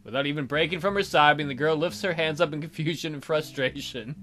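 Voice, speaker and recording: Bright-voiced man narrating a visual novel. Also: on the high side, quick pace, soft background music. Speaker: let's play host. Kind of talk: reading a visual novel aloud with dramatic narration and joking asides.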